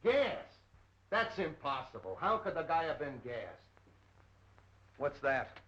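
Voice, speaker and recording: A man's voice: on the high side, very animated, slow pace, fairly bright tone, full character voice. A man playing an impatient, skeptical police captain with blunt, slangy delivery in a 1930s film. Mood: incredulous. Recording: muffled 1930s film soundtrack.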